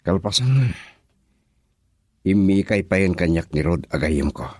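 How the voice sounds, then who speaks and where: A man playing a brooding, scheming man in an Ilocano radio drama monologue, with a husky voice and an Ilocano accent. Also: low-pitched, lively intonation, unhurried, dry recording, studio quality.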